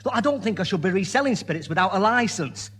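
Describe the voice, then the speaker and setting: High-voiced, excitable shifty market trader. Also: male voice, quick pace, husky, heavily acted. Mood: sly, salesmanlike. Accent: Northern English. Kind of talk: 1970 British radio sitcom acting. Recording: old radio recording, faint hiss.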